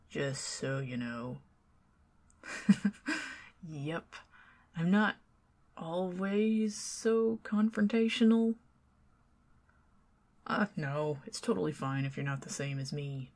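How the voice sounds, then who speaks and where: A young woman with a Southern US accent doing an ASMR roleplay as a chatty neighbor, rambling between soft talk and whispers with nervous giggles. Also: deep voice, slow pace, pauses often. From Southern United States. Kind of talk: ASMR roleplay, talking to the listener as a friendly neighbor.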